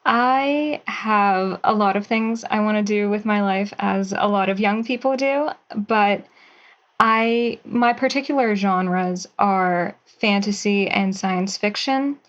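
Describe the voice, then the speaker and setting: Slow-speaking young woman on a dull line. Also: medium pitch. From Pennsylvania, USA. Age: 20s. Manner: measured and thoughtful, with some hesitation fillers. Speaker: writer and guest. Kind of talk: interview answers.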